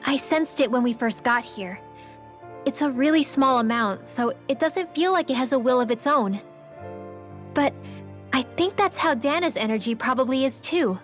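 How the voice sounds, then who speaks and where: A woman's voice: high-pitched, expressive, normal speed, faint music behind. A woman voicing an earnest, open-hearted and slightly stubborn teenage girl mage in a video game cutscene. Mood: hesitant, thoughtful.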